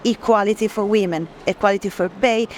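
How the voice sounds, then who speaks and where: A woman giving an explanatory gallery presentation at an art fair, pausing to search for words as she goes. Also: mid-range voice, a little mellow. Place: busy art fair hall. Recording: clear ambient noise from a busy venue.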